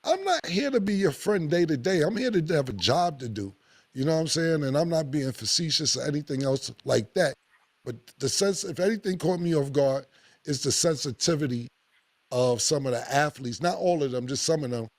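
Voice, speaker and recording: New podcast host guy, deep gravelly voice, blunt and loud about it, dry close mic. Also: normal speed, no room sound. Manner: blunt, animated, swears freely. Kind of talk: casual opinionated podcast talk.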